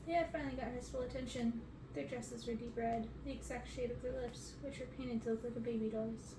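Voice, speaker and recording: A woman in her twenties reading a storybook aloud as an ASMR reader. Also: fairly high pitch. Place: bedroom, on a bed. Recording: roomy, noisy, distant mic.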